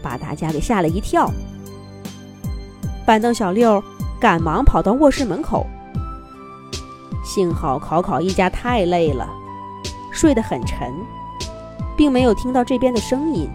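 A woman's voice: medium pitch, sing-song, a little slow, pauses often, music in the background. A woman narrating a children's fairy tale expressively from a script, as a storyteller.

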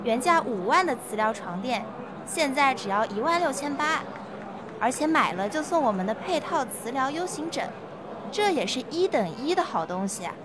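A woman's voice: high voice, very animated, moderate pace, noisy background. A woman playing an ingratiating, persuasive health-product saleswoman in a radio play. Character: smooth-talking, pushy female health-product saleswoman pitching magnetic mattresses. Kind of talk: amateur legal-education radio play.

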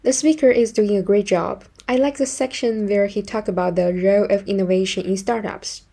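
A woman's voice: mid-range voice, steady tone, fairly quick, vocal fry, mellow. A woman working as an English teacher, narrating a scripted language lesson and modelling example phrases in an instructional way.

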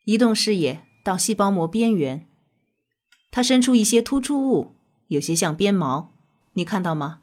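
An actress playing a composed, authoritative scientist in an audio drama, explaining in a measured, instructive way. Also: female voice, medium pitch, lively intonation, darkish tone, natural voice. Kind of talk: scripted audio drama dialogue.

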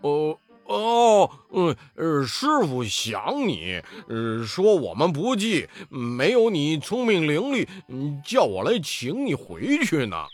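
一位男子在故事中扮演猪八戒，说话吞吞吐吐，嗯嗯呃呃。. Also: male voice, a little high, huge pitch swings, slow, few pauses, dry recording, faint music behind, full character voice. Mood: sly. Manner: hesitant, hemming and stammering. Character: lazy scheming male pig monk.

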